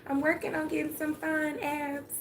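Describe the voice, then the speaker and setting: A woman's voice: sits high, background noise. An older woman from Atlanta with a Southern accent, chatting casually with viewers on a phone livestream, folksy and talkative, sometimes dropping to a murmur. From Atlanta, Georgia. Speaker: livestream host. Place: home room with sunlight.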